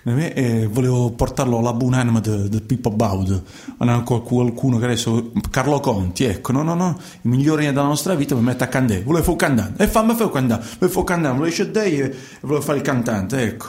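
An actor playing a naive young man who drives migrants and dreams of singing, with a southern Italian accent, in an excitable, repetitive, colloquial radio theatre style. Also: mid-range voice, quick pace, roomy sound, lightly acted. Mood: wistful, pleading.

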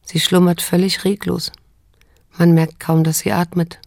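An actress playing a wounded yet restrained middle-aged daughter in a radio drama, speaking in a measured, sober, pointed way. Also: female voice, on the low side, level tone, gravelly, a little mellow, no echo, studio quality. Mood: pensive, tender. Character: probing, reproachful daughter in her late fifties, wounded yet restrained. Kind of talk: German radio drama acting and narration.

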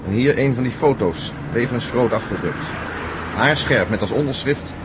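A man's voice: medium pitch, lively intonation, normal speed, lightly acted. A man acting in a radio drama as a curious, wry friend, speaking conversationally with dry teasing. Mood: wry excitement. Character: Stevens, curious, wry male friend of an astronaut. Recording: noisy radio play, vehicle hum.

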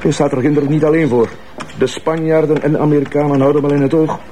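An actor playing a good-natured, level-headed police colleague with a Flemish accent, talking colloquially in a naturalistic radio drama. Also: male voice, a little high, level tone, fast talker, mellow, natural voice. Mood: reassuring, teasing.

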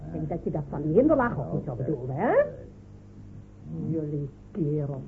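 Scornful woman, very animated, tinny recording. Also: deep voice, moderate pace, vocal fry, heavily acted. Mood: mocking. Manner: mocking, scolding, rhetorical questions. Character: scornful, sharp-tongued village woman berating cowardly men. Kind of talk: radio play acting. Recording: phone-like, noisy old radio play recording.

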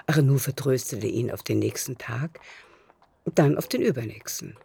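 Mellow low-voiced woman narrator. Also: lively intonation, normal speed, gravelly, slightly rough. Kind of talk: scripted literary narration for radio drama.

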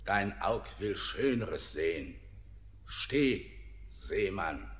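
Eerie, echoing old guide. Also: male voice, low voice, lively intonation, very slow, fairly bright tone, heavily acted. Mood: luring, mocking. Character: eerie old underworld guide luring a sailor. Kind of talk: classic German radio drama. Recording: long hollow reverb.

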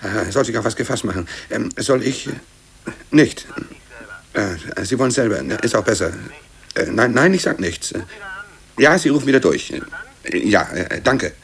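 An actor playing an irritable, busy office clerk who grumbles, stammers and counts aloud in a 1950s German radio drama. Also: male voice, lowish voice, lively intonation, normal speed, creaky, fairly bright tone. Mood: hesitant, deferential. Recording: noisy, clicky old cassette radio recording.

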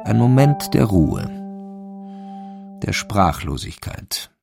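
A man narrating a radio crime drama in a measured, sober, literary way. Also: lowish voice, big pitch swings, vocal fry, heavily acted. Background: music.

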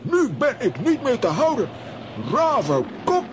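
A man's voice: very high-pitched, wildly swooping pitch, unhurried, noisy background, exaggerated voice. A man playing a hot-headed, blustering comic adventurer in a children's radio play, theatrical and exclamatory. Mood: indignant, furious.

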